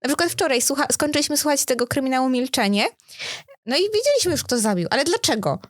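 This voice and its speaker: A woman co-hosting a casual podcast, telling things in an animated way and asking rhetorical questions. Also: high voice, fast, dry recording, studio quality. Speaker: podcast co-host. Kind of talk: casual chat.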